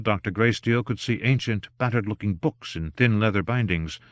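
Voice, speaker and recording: A man with a fairly low voice reads a novel aloud in a steady, measured way. The sound is dry and close, with no room around it. He keeps character voices restrained and lets the story carry. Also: no room sound. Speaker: audiobook narrator. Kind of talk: unabridged novel reading.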